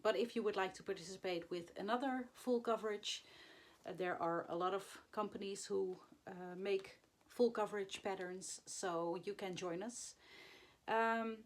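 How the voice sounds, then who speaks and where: Hoarse woman vlogging slowly. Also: mid-range voice. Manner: halting, many fillers and pauses. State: hoarse, recovering from flu. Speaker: flosstube vlogger. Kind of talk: casual chat to camera.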